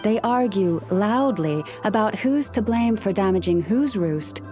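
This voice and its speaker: An actress playing a gentle, patient young woman who speaks with animals in a video game, polite and measured. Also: mid-range voice, expressive, soft background music, lightly acted. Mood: confiding, explanatory.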